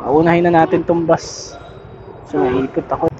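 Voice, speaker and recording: Filipino accent, Manila area, motovlogger, medium pitch, casual rambling chat, noticeable vehicle noise, outdoor motorcycle recording. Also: steady tone, moderate pace, dull audio. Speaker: motovlogger testing a camera. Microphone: intercom headset mic, sometimes built-in camera mic. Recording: clear vehicle and wind-like ambient noise.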